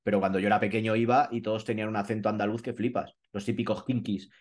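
This is a man with a somewhat low voice, talking casually on a podcast. He thinks aloud and hedges a lot, restarting sentences and slipping into street slang. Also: little pitch movement, quick pace, darkish tone. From Madrid, Spain. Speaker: podcast conversation participant. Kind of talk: casual chat.

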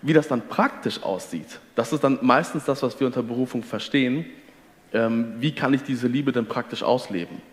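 Man from Ostwestfalen, middle pitch, earnest teacher type, reverberant room. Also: moderate pace. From Ostwestfalen, Germany. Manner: earnest, teacherly, quotes scripture. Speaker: guest. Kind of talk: faith conversation.

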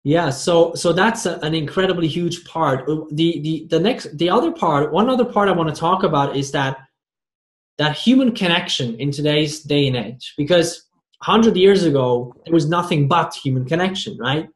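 The host of an interview podcast in an echoey room, a man with a slightly high voice who circles and restarts his way toward each question. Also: expressive, normal speed. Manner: rambling, stumbles and repeats words. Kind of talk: conversational interview. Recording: roomy, echoey sound.

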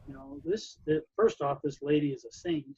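A man's voice: a little high, moderate pace, a little mellow, husky, dull audio. A man, a coach, talking casually and reflectively, with many "you know"s. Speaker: wrestling coach. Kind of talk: casual conversation.

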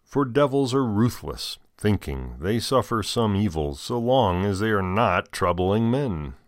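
American male, deep register, dry recording. Deacon hosting scripture readings with informal, deliberately phrased commentary. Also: very animated, no room sound. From United States.

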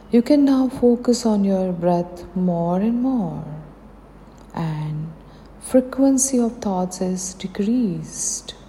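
Slow, dark-voiced Indian woman guiding meditation. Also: medium pitch. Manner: soothing, unhurried instruction in short phrases. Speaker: guided meditation teacher. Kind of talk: guided meditation narration. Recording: long hall reverb, faint background noise.

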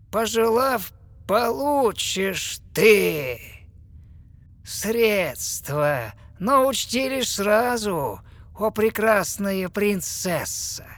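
Slow, sneering sea witch. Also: on the high side, dry recording, exaggerated voice. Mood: mocking, gloating. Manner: sneering, drawn-out, theatrical delivery with bursts of shouting. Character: mocking sinister sea witch, gloating over her victim. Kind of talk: verse fairy-tale audio play acting.

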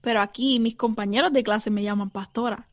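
A woman's voice: fairly high pitch, fairly even intonation, brisk, dry recording, studio quality. A young Puerto Rican woman, a theology student, answering interview questions earnestly with many fillers and some English words. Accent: Puerto Rican Spanish. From Puerto Rico.

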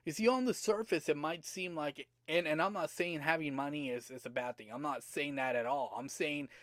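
Slightly hoarse male podcast host, high-ish voice, dry close sound, earnest and questioning. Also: no room sound. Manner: earnest, repeats rhetorical questions to the listener. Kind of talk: motivational podcast monologue.

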